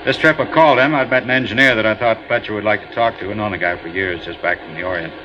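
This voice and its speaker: A talkative ship captain, voiced by a man, rambles on, hesitant and friendly, in a 1950s radio drama. He talks quickly at a middle pitch. The broadcast sounds muffled and carries a loud hiss. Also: close to an everyday voice.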